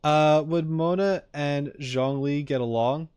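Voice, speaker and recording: A man's voice: a little high, slow, darkish tone, smooth, no room sound. A man chatting casually as a streamer to a live audience, rambling and playful. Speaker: streamer reacting to game content.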